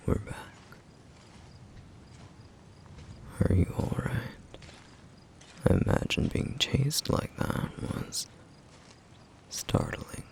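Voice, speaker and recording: A man playing a brooding, protective flame demon in an ASMR roleplay, speaking in a grave, breathy, gravelly near-whisper with deliberate pauses. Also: mid-range voice, huge pitch swings, a little hiss or hum, full character voice. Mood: concerned, weary. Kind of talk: ASMR roleplay, intimate scripted monologue.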